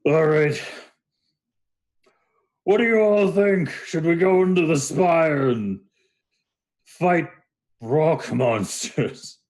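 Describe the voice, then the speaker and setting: Man putting on a slow, skeptical hulking Minotaur. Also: medium pitch, darkish tone, voice pushed up. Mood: rallying. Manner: slow, drawn-out, sarcastic delivery. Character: big imposing male Minotaur blacksmith, skeptical, gruff.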